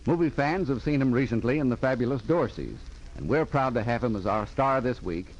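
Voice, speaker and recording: A man's voice: medium pitch, lively intonation, a little fast, lo-fi sound. A man hosting a 1940s radio theatre in a courtly, theatrical manner. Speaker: director and host of radio theatre. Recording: vintage 1940s radio transcription.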